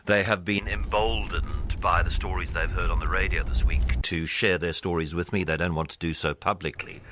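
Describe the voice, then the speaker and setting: A man hosting a radio interview, putting questions in a measured, earnest way. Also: deep voice, steady tone, background noise. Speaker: radio host.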